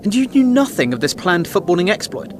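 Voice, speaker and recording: Urbane English gentleman, high lively voice. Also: a little fast, background noise. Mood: probing. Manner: polite, urbane, probing. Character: well-spoken, inquisitive British gentleman questioning a soldier. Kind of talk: audio drama acting.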